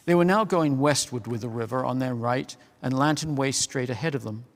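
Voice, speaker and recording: Male storybook reader, gravelly, slightly high, roomy reverb. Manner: measured storytelling. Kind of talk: reading a children's novel aloud. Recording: long hall reverb.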